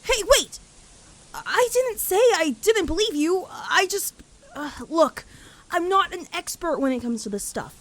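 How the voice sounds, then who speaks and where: Woman as a lively, high-voiced cartoon pegasus mare. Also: a little slow, full character voice. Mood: reassuring, sheepish. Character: cocky but caring young adult pegasus mare. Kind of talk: acted cartoon fan fiction audio reading.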